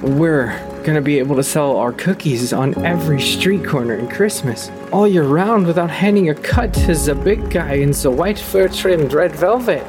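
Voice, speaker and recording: An eager, scheming young reindeer with a highish male voice acted by a man. He is a melodramatic mama's boy in a comic radio play, with music behind him. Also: normal speed, few pauses, lightly acted. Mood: giddy, triumphant. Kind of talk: comic radio drama acting.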